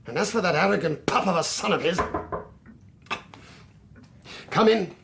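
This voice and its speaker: A man playing an irritable, scornful upper-class English country gentleman in a radio drama. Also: high-pitched, lively intonation, fast, creaky, background noise. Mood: irritated. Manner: scornful, condescending. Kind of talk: British radio drama acting.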